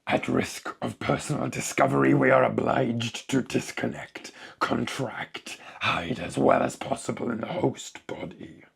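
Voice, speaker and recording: A man voicing a sinister alien parasite inside a corpse, in a hoarse, whispery croak with clipped, halting phrases, for a horror story reading. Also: medium pitch, fairly even intonation, heavily acted. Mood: cold, calculating. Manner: halting, clipped telegraphic speech, savouring words. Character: alien parasite speaking through a corpse, sinister, coldly gloating.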